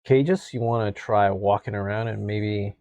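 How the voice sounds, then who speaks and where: A man's voice: fairly low pitch, fairly even intonation, unhurried, dark tone, no echo. A man playing in a tabletop game, talking hesitantly and filling gaps with "like". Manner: hesitant, full of "like". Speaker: player. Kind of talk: casual tabletop game chat.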